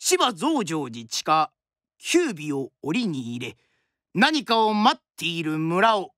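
A woman's voice: on the low side, huge pitch swings, slow pace, fairly bright tone, no room sound, clean studio sound. A woman narrating a drama from a script in a theatrical, traditional storyteller's style.

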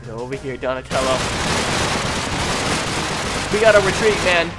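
A young man doing excited, improvised roleplay commentary over a video game, urgently shouting commands to teammates. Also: medium pitch, moderate pace. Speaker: gaming video host playing a roleplay game. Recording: loud music behind the voice. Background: loud music.

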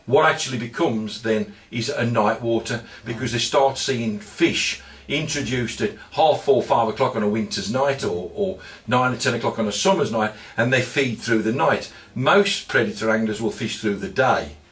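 A middle-aged man gives practical angling advice in conversation, assured and emphatic. His mid-pitched voice reaches a camera some feet away in an echoey shop. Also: normal speed, few pauses, fairly bright tone. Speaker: angling expert giving advice. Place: indoors in a fishing tackle shop. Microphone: camera a few feet away. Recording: echoey shop room, distant camera microphone.

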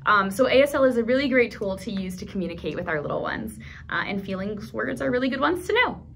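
A woman in her thirties hosting a children's storytime, talking in an encouraging, animated, instructional way to toddlers and their grown-ups. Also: medium pitch, fast talker, slight background noise. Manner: animated, encouraging, simple clear phrasing for young children. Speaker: children's storytime host at a library. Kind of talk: instructional talk to toddlers and caregivers. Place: small indoor room.

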